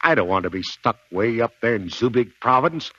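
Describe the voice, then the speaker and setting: An actor playing a gruff, blustering middle-aged American Marine sergeant in a 1940s radio drama, with folksy, emphatic delivery. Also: male voice, mid-range voice, expressive, brisk, fairly bright tone, no room sound. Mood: pleading. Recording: dull 1940s radio broadcast recording.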